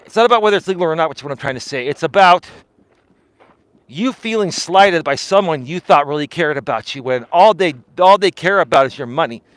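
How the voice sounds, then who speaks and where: A man with a fairly high voice rants to his live-stream audience, blunt and argumentative, and keeps qualifying his points. The sound is dry and close, with no room echo, captured on a mobile mic while he walks outdoors. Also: lively intonation, fairly quick, fairly bright tone. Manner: blunt, argumentative, repeats "in my opinion". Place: outdoors on a walk.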